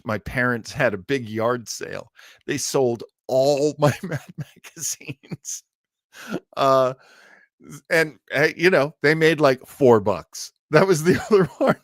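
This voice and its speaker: An American man hosts a casual livestream in a medium-pitched voice. He takes his time, with halting, earnest and self-deprecating phrasing. The sound is dry, with very little room in it. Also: no room sound. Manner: halting, self-deprecating, chuckles while talking. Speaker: live show host. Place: home studio.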